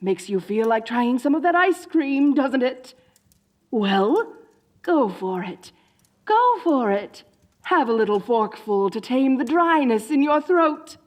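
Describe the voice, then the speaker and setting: A woman playing a dorky, theatrical evil sorceress queen in a comedic roleplay audio drama, grandiose and gleefully wicked yet soft-hearted and awkwardly chatty. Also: high voice, very animated, slow pace, exaggerated voice. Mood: giddy, scheming.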